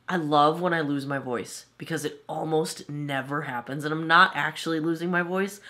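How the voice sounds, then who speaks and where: A woman's voice: low voice, normal speed, bright, forceful tone. A woman in her forties giving a chatty, self-amused makeup review at her home vanity, her voice slightly off from a cold. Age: 40s. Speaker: beauty vlogger.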